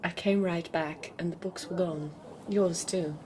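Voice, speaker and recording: An actress playing a cool, guarded young nurse in a radio drama, dry and direct. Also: female voice, lowish voice, fairly even intonation, measured pace, darkish tone, natural voice, poor audio. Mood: cool, dismissive. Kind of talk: naturalistic radio drama acting. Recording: radio drama with background noise.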